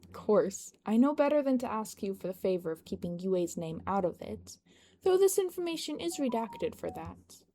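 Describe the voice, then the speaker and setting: A woman's voice: medium pitch, sing-song, normal speed, warm tone, slight background noise, natural voice. A woman voicing a cocky, composed young male leader with an easygoing, wry manner in a narrated story.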